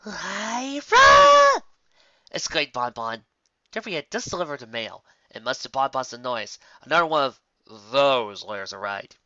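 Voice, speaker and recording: A man narrates a written story aloud. His voice is bright and very animated in pitch. The recording is close and dry but slightly dull. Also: moderate pace, no room sound. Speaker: story reader. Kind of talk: scripted narration of fan fiction.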